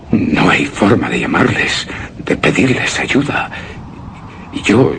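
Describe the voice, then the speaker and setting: An actor playing a tormented man keeping a deathwatch, speaking in a halting, haunted, dramatic way in a suspense and horror radio play. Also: fairly low pitch, slight background noise, full character voice. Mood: desperate, agitated. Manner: haunted, halting, dramatic, breaking into hushed whispers. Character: tormented male narrator keeping deathwatch over a shrouded woman.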